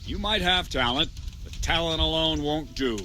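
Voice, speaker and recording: An actor playing a stern, gruff medieval captain who instructs a young recruit in a video game. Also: male voice, on the high side, lively intonation, normal speed, pushed and bright, soft background music. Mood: firm, instructing.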